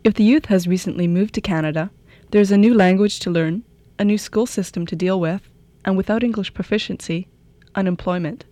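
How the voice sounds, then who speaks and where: A woman host reading scripted radio documentary narration in a measured way. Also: medium pitch, mellow, no echo. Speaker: program host and reporter.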